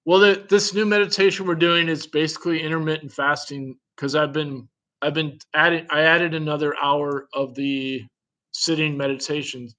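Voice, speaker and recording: A man talking in a loose, rambling, casual way, his voice sitting a little higher than most men's. He thinks aloud, reacts with curious little questions and often breaks into a chuckle between remarks. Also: little pitch movement, normal speed, pushed and bright. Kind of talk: casual chat.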